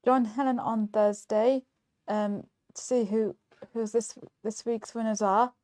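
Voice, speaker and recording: A woman's voice: fairly high pitch, steady tone, a little slow, a little mellow. A woman co-hosting a casual show in a chatty way, hesitant and often stumbling over repeated words. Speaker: show co-host.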